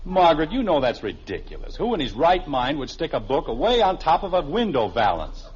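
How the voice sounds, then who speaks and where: Through a muffled old radio recording comes a stubborn, flustered American family man. His pitch swings wildly as he hesitates and protests in 1950s sitcom style. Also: big pitch swings, a little fast, breathy edge, exaggerated voice. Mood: indignant. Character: flustered, stubborn suburban husband and father. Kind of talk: 1950s radio situation comedy acting.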